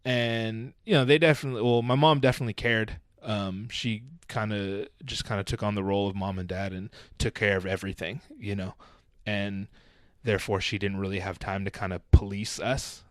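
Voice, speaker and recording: A man's voice: lowish voice, steady tone, brisk, hardly any pauses, no room sound, studio quality. A man in his thirties, a podcast guest, talking about his upbringing in a laid-back, nonchalant way and swearing freely. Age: 30s. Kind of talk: casual chat.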